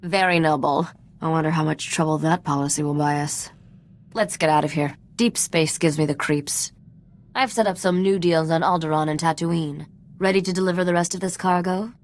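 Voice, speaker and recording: A woman's voice: sits low, fairly even intonation, normal speed, natural voice. A woman playing a cool, cynical treasure hunter in a video game, wry and sardonic.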